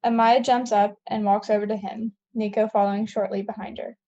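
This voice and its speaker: A woman's voice: mid-range voice, level tone, fairly quick, warm tone, dull audio. A woman narrating scripted stage directions for a table read.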